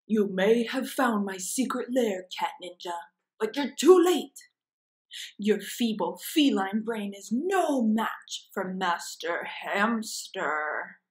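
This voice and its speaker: A woman's voice: mid-range voice, animated, slow. A woman voicing a grandiose hamster supervillain from a comic storybook, declaiming pompously as a gloating evil genius.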